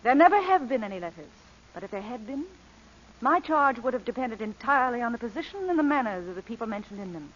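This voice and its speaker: A coolly unrepentant society lady thief with a lively, swooping voice. She is genteel and teasing in old radio drama style, on a dull, lo-fi recording. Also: fairly high pitch, fast talker. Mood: poised, firm. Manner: genteel, teasing, mock-innocent. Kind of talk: 1940s radio drama acting.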